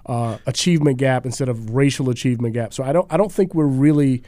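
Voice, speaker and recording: A man speaking as a radio panel guest, halting with frequent restarts. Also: medium pitch, dark tone, no room sound. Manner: halting, many restarts and fillers. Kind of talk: casual discussion.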